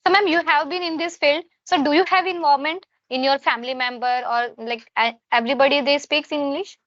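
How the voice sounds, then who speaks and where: A woman's voice: high voice, steady tone, fairly quick, fairly bright tone, dry recording, muffled recording. A woman interviewing a tutor, asking questions hesitantly and often stopping to find her words. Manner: hesitant, many fillers and restarts.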